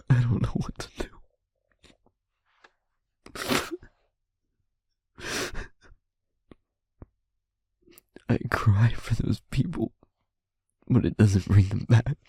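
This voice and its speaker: A man playing a guilt-ridden werewolf pack leader confiding in a partner in an intimate audio drama, with a halting, heavy, emotionally raw delivery. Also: medium pitch, big pitch swings, dark tone, breathy edge, dry recording, full character voice. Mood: breaking down, tearful.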